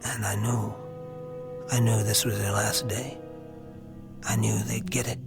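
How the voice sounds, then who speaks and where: An American actor playing a haunted, weary young army lieutenant in a radio drama, speaking with brooding, halting intensity. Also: male voice, lowish voice, little pitch movement, gravelly, over music.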